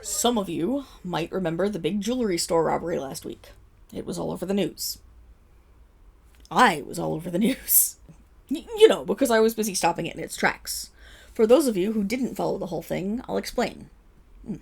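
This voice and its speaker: A woman's voice: lowish voice, lively intonation, normal speed, gravelly, a little mellow, breathy edge, full character voice. A woman playing a flustered, boastful female superhero who rambles defensively through a dramatic public apology speech in an audio roleplay. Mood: self-satisfied. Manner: rambling, defensive, dramatic storytelling with nervous laughs. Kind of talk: scripted audio roleplay monologue as a press conference speech.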